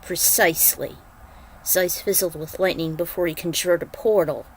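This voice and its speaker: A woman's voice: on the low side, steady tone, a little fast, darkish tone, a little hiss or hum, poor audio. A woman narrating a piece of fan fiction, reading the prose aloud as a dramatic story.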